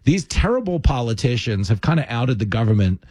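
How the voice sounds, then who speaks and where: A man's voice: medium pitch, fairly quick, slightly rough, no echo. A man hosting a podcast, chatting casually and animatedly about politics. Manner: animated, laughing, acts out quoted voices. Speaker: podcast host.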